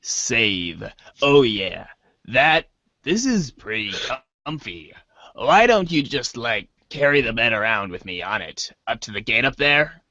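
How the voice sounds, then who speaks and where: Man playing bright-voiced, hesitant teenage boy. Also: mid-range voice, very animated, a little slow, dry recording, full character voice. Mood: casual, unsure. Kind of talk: amateur dramatic reading of webcomic chat dialogue.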